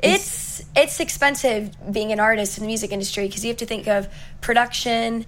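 A young woman singer-songwriter with a raspy voice, chatting upbeat and easily as an interview guest. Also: a little high, fairly quick, fairly bright tone, slight background noise, rough recording. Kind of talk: casual interview chat.

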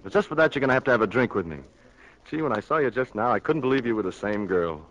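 A man's voice: medium pitch, lively intonation, natural voice. An actor playing a smitten, boyish young man in a 1940s radio drama, eager and hesitant. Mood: bashful, eager. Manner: hesitant, halting courtship talk. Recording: muffled 1940s radio broadcast over loud music.

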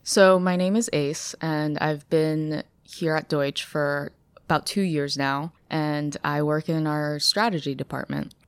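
A young woman with an American accent, born in China, talking casually and thoughtfully as a podcast guest, with lots of fillers and pauses. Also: sits low, steady tone, clear, resonant tone, no echo. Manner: hesitant, filler-heavy, thoughtful. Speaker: guest, strategy staffer.

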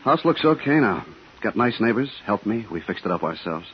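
An actor playing a guarded, scared suburban husband and veteran, terse and evasive, in 1950s radio drama style. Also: male voice, lowish voice, sing-song, normal speed. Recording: dull, slightly noisy 1950s radio recording.

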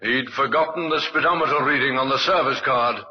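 Methodical police inspector, slightly husky, acted by a man. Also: mid-range voice, close to an everyday voice. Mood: measured, suspicious. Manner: measured, formal, probing. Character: calm, methodical, quietly suspicious male police inspector. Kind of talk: old-time radio drama acting. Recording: muffled old radio recording.

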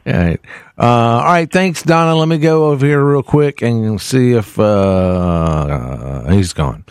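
Slow-talking male radio host. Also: medium pitch, clean tone, no echo, studio quality. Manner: relaxed, takes calls, laughs often. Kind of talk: casual morning radio banter.

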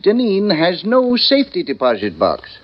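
High, swooping, droll detective's sidekick. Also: male voice, huge pitch swings, moderate pace, heavily acted. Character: theatrical, wry, boozy older sidekick of a detective. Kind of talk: 1940s radio drama acting. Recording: muffled vintage 1940s radio recording.

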